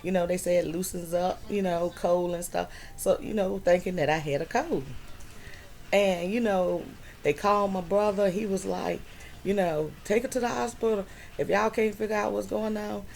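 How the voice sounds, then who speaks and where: A middle-aged woman vlogging from home, telling a personal story slowly with many fillers. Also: mid-range voice, creaky, darkish tone, slight background noise. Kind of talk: personal health story vlog.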